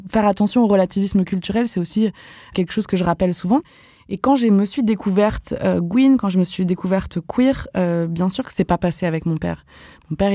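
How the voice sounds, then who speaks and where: A young woman from France, a professional dancer, speaking candidly as a podcast guest, casual and animated, with lots of fillers and slang. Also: lowish voice, no echo, studio quality. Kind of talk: podcast interview.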